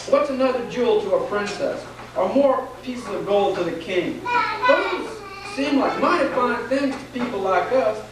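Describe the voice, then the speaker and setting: A performer playing a kindly, devout old grandfather in a puppet show, telling things earnestly and gently. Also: mid-range voice. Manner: earnest storytelling, gently reassuring. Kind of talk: stage puppet show dialogue. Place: church, recorded from afar. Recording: very echoey, distant, noisy 1990s church recording.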